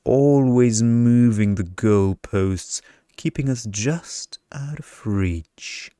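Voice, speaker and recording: A man voicing a dull, smug energy vampire in a monotone, self-important way for a story. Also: medium pitch, drawn-out words, smooth, no room sound, studio quality, voice pushed up. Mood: musing.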